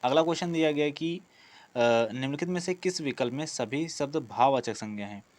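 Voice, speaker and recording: A man's voice: on the high side, fairly quick, a little mellow. A man teaching an online exam-prep class, reading out quiz questions and explaining the answers, often repeating key words. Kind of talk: online lesson, working through quiz questions.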